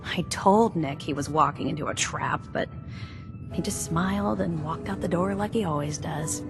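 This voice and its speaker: An actress playing a downcast, loyal American secretary at a detective agency in a video game, world-weary in manner. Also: female voice, sits low, moderate pace, creaky. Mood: worried, wistful. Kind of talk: video game dialogue acting. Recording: voice over game music.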